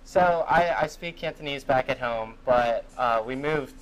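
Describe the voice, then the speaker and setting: A man's voice: medium pitch, a little slow, low-quality recording. A young man interviewing someone in a casual chat on a cruise ship, rambling with lots of fillers and frequent laughs.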